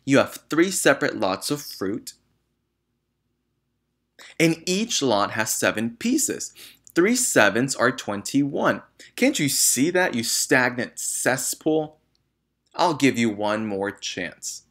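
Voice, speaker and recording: Huge bullying headmistress, played by a male reader: scornful, barked orders, loud storybook tyrant. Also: medium pitch, big pitch swings, slow pace, pitched above the performer's own voice, heavily acted. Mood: furious. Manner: barking, shouting, scornful.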